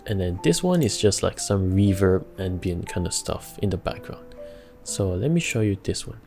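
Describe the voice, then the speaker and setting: A young man giving a casual music production tutorial from a home studio, chatty and relaxed, laughing between steps and using plenty of fillers. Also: low voice, normal speed, gravelly. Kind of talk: casual screen-recorded tutorial.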